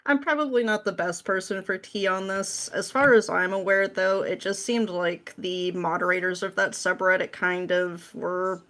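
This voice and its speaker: A woman's voice: fairly low pitch, fairly even intonation, moderate pace, hardly any pauses, fairly bright tone. A woman speaking as an interview guest in an informal, wry, conversational way. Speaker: podcast guest, script writer. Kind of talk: casual interview chat.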